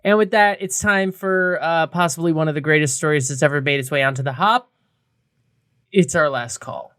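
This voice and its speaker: A man's voice: on the high side, moderate pace, a bit bright, clear, resonant tone. A man co-hosting a podcast, talking casually with a wry, joking manner. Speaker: co-host of a craft beer podcast.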